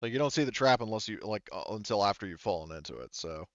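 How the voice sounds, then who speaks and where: Low-voiced, smooth male game master. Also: animated, no room sound, highs cut off. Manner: halting, thinking aloud. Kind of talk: unscripted narration of a tabletop roleplaying game.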